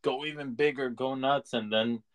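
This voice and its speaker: A man co-hosting a podcast, chatting casually about movies in a laid-back, halting way. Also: medium pitch, steady tone. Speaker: podcast co-host.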